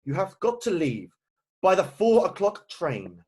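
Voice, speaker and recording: A man reading a stern, indignant, proper gentleman in an amateur play read-through on a video call. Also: sits high, big pitch swings, unhurried, husky, full character voice. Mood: stern, irritated.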